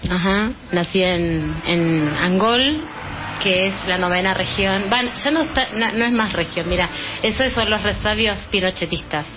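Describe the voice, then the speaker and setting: A woman's voice: mid-range voice, moderate pace, dull audio, music in the background. A woman in her fifties from Chile, giving a casual radio interview and talking hesitantly with many fillers and restarts. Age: 50s. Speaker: interview guest.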